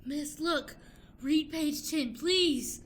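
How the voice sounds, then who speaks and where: A woman playing an anxious, excitable young woman in an improvised radio play, dramatic, emphatic and pleading. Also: high voice, drawn-out words. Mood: urgent, pleading.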